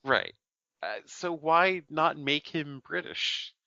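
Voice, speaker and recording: A male podcast co-host with a bright, forceful voice works through a film plot in loose conversation. He stumbles and restarts often. The recording is dry and a little dull. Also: fairly high pitch, animated, slow pace, creaky, dry recording. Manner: rambling plot summary with fillers and restarts. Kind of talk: casual film review chat.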